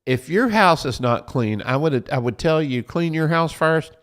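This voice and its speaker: Man, slightly high voice, folksy preacher-style host, repeats his points, tells listeners to write things down. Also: lively intonation, normal speed. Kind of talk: preaching-style financial advice talk.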